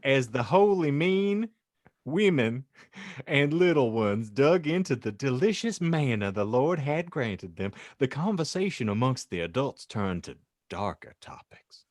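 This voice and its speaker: A man's voice: mid-range voice, sing-song, a little slow, no room sound, studio quality. A man narrating a comic audiobook with mock-earnest, theatrical delivery. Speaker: podcast host and narrator.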